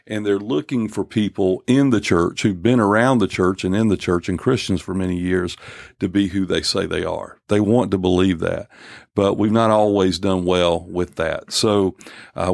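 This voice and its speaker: A man in his fifties hosting a church podcast, talking earnestly and unhurriedly and joking gently with his guests. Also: low-pitched, steady tone, moderate pace, vocal fry, no echo, clean studio sound. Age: 50s. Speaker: podcast host. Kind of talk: casual church podcast talk.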